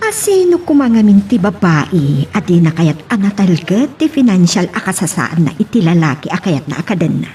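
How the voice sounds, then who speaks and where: A woman's voice: fairly low pitch, very animated, measured pace, darkish tone, slight background noise. A woman playing a gossipy, disapproving character who muses aloud in an Ilocano radio drama.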